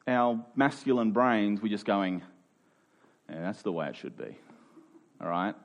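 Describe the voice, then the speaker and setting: A man's voice: mid-range voice, a little mellow. A man preaching a sermon in a conversational way, building to emphatic peaks. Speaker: pastor.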